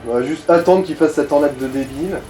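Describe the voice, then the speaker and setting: A man's voice: on the high side, fast, warm tone, faint music behind, low-quality recording. A man giving casual game commentary as a companion, in informal, slangy and mildly crude language. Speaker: let's play co-commentator.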